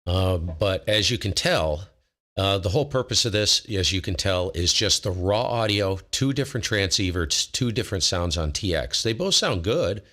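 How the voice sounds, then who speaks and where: A man with a very low voice hosting a casual ham radio livestream, talking informally and self-deprecatingly, often wandering mid-sentence. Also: lively intonation, moderate pace, fairly bright tone. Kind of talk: casual technical talk to a live chat.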